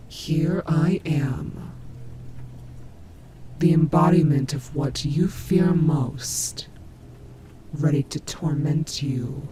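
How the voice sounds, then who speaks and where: A woman's voice: deep voice, background noise. A woman voicing a menacing, taunting nightmare animatronic rabbit in a scripted audio roleplay, with a mocking, theatrical delivery. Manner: slow, mocking, theatrical drawl with whispered asides.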